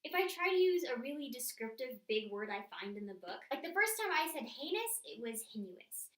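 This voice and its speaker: A woman's voice: fairly high pitch, big pitch swings, fairly quick, a bit bright. A woman book vlogger talking chattily and directly to her viewers as she works through a list of questions. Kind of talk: scripted-sounding book tag chat to camera. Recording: roomy echo, distant microphone.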